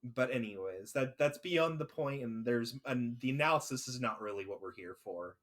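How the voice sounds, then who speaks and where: A man's voice: mid-range voice, animated, normal speed, fairly bright tone. Rambling man chatting about a show. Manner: rambling, lots of fillers and restarts. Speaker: podcast host. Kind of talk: casual chat.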